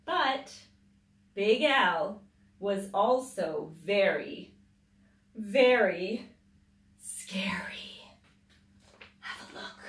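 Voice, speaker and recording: Female storytime reader, dramatic and highly expressive, unhurried delivery, recorded at a distance in a roomy space. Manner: dramatic, emphatic storytelling with drawn-out words. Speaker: children's storytime host, teacher. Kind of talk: expressive children's picture book read-aloud. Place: small indoor library room with tiled floor. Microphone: camera a few metres away. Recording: roomy echo, distant microphone.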